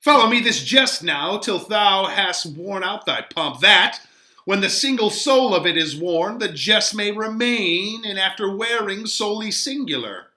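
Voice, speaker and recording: Man playing a witty young gentleman, theatrical Shakespeare, teasing, emphatic, very bright, wide swings in pitch. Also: expressive, a little slow, heavily acted. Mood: playful, boastful. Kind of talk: dramatic Shakespeare reading.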